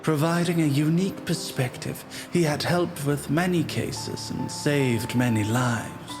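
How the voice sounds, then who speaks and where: A man narrating a scripted suspense story in a measured, ominous storytelling manner. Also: fairly high pitch. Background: music.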